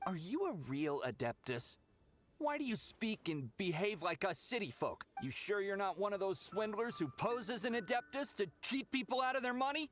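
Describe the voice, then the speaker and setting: A man's voice: sits high, animated, moderate pace, a little hiss or hum. An actor playing an impatient, suspicious young townsman in an anime video game, brash, whiny and accusatory. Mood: annoyed, accusing.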